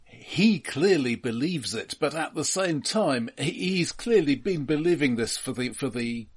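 A middle-aged British man co-hosting a casual film discussion, speaking in a dry, halting way and repeating words as he thinks. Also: on the high side, expressive, slightly rough. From United Kingdom. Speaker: film podcast co-host.

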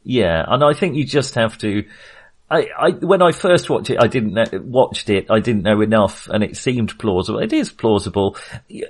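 A man co-hosting a film podcast, talking casually in a hesitant, self-qualifying way with real enthusiasm. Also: on the low side.

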